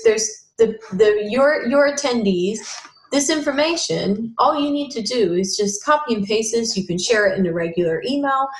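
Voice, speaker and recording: A woman walks through a software tutorial while sharing her screen, her voice sitting at an ordinary middle pitch. She talks in a halting way, stopping and restarting with plenty of fillers. The recording has a roomy echo to it. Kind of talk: casual screen-share tutorial.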